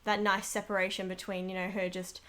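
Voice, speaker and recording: A woman's voice: mid-range voice, little pitch movement, a little fast, pushed and bright. A woman in a casual interview, talking as an amateur voice actress in a rambling, self-deprecating way.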